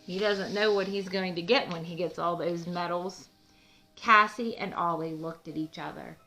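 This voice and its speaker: A woman's voice: fairly low pitch, normal speed, sharp tone, soft background music, poor audio. A woman narrating a children's storybook expressively as read-aloud host, with teacherly explanatory asides.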